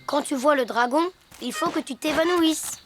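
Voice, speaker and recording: Young boy hippo for kids' animation, female performer: high, earnest, gallant, explanatory, music underneath. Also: moderate pace, lightly acted. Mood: earnest, explaining. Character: kind, earnest little boy hippo, playing gallant knight. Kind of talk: children's cartoon dubbing. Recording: music behind the voice.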